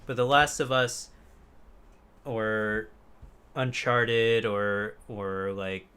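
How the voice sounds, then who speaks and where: Young man, bright voice, rambling and sweary. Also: lowish voice, fairly even intonation, extremely slow, clear, resonant tone. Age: young adult. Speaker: podcast co-host. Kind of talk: casual chat about video games.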